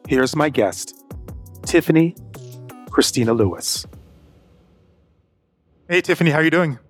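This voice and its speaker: A man's voice: fairly high pitch, expressive, measured pace, faint music behind. A man hosting a podcast, introducing and interviewing a guest. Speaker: podcast host.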